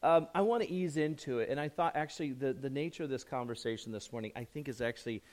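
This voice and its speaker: A man preaching a conversational sermon as pastor, hoarse from a chest cold, chatty and self-joking with frequent restarts. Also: mid-range voice, quick pace, darkish tone.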